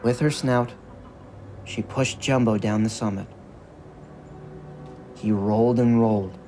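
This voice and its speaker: A man's voice: lowish voice, steady tone, slow, dark tone, a little hiss or hum. A man narrating a scripted story for an audio drama. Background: music.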